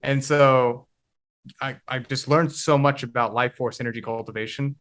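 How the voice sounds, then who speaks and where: A man in his twenties speaking as a guest on a podcast. He talks in a reflective, halting manner and often pauses to find the right word. His voice has an ordinary middle pitch. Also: steady tone, fairly bright tone. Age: 20s. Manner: reflective, halting, searching for words. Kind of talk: casual conversation.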